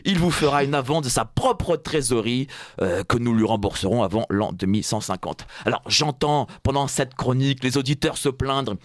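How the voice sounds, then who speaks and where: A man's voice: mid-range voice, expressive, normal speed, dry recording. A man with a French accent giving a satirical commentary in a radio studio, sarcastic and mock-solemn, laughing at his own jokes. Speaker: radio columnist.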